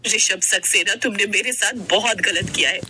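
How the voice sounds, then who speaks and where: A woman's voice: medium pitch, normal speed, bright, forceful tone, a little hiss or hum. A woman voicing a young newlywed wife in a story, hurt and polite but turning defiant, speaking with dramatic expression. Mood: hurt, angry.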